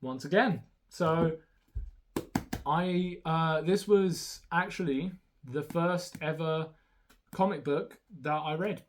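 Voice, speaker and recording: A man's voice: a little high, takes it slowly, a little hiss or hum. A young man whose first language is Romanian, talking casually and hesitantly through a haul with many ums and restarts. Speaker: YouTuber showing a haul.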